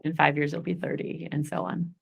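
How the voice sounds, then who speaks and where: A woman's voice: deep voice, little pitch movement, fairly quick, creaky, husky. A woman in her forties leading a goal-setting workshop, chatty and upbeat, laughing often. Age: 40s. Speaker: workshop facilitator.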